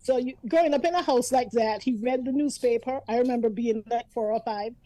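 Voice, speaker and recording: A woman in her fifties reminisces in a chatty, storytelling way, laughing easily as she talks. Her voice sits a little high yet sounds mellow and dark in tone. The sound is close and dry, with no room around it. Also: brisk, no echo, poor audio. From Antigua. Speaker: guest. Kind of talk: casual reminiscing conversation.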